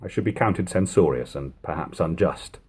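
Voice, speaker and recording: A man narrating an eighteenth-century account as a dramatic, expressive audiobook reading. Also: low voice, moderate pace, husky.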